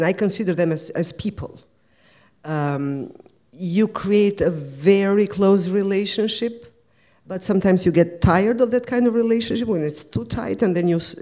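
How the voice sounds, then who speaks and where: A woman with an Albanian accent, speaking in a thoughtful, halting way as a writer on a discussion panel. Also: on the low side, expressive, dark tone. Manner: thoughtful, halting, searching for words. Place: lecture event before an audience.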